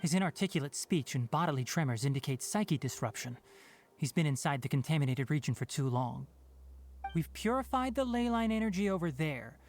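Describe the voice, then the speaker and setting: An actor playing a composed, analytical young male forest healer in a video game, articulate and measured. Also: fairly high pitch, expressive, faint music behind. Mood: calm, clinical. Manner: articulate, measured, matter-of-fact.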